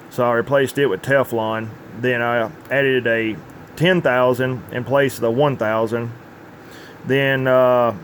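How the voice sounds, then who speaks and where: A man with a mid-pitched voice talks through technical work in a plainspoken, informal way, like a repair technician at his bench. There is faint noise behind him. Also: fairly bright tone. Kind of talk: informal workbench demonstration.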